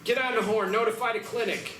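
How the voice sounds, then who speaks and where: A man playing an embattled, gruff and stubborn small-town sheriff in a screenplay table read, speaking forcefully and urgently. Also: high-pitched, steady tone, quick pace, far from the mic, natural voice. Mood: urgent, frustrated. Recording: roomy, off-mic, over music.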